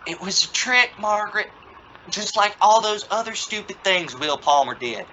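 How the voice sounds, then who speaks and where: A man's voice: high voice, animated, moderate pace, sharp tone. A man playing an exasperated, sarcastic middle-aged father in an old-time radio sitcom, flustered and bluffing confidence. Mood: insistent, irritated. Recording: dull, noisy lo-fi radio play recording.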